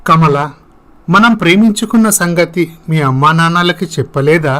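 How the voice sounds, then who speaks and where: Casting: male narrator as lovelorn young man in story drama, slightly high voice, earnest and persuasive. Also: normal speed, a bit bright, close to an everyday voice. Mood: concerned. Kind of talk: scripted moral story dialogue.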